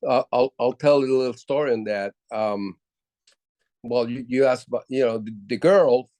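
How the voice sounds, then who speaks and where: An older man from Puerto Rico with a low voice, telling stories as a musician guest in a casual interview, with many halting restarts. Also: dark tone, dry recording.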